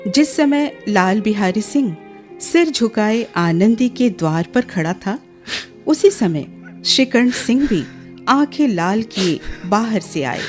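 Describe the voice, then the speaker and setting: A woman narrating a radio drama as a scripted story, in a measured and expressive way. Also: mid-range voice, faint music behind.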